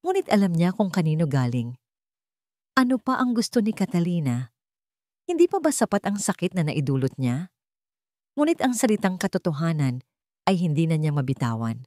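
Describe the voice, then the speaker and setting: A woman with a Filipino accent narrating a scripted story in a dramatic, deliberate storytelling manner. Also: on the low side, very animated, warm tone, dry recording, studio quality.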